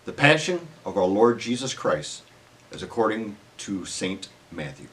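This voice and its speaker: A man's voice: on the low side, very animated, unhurried, a bit bright. A man reading scripture aloud as narrator in a formal storytelling way, his phrases falling at the end.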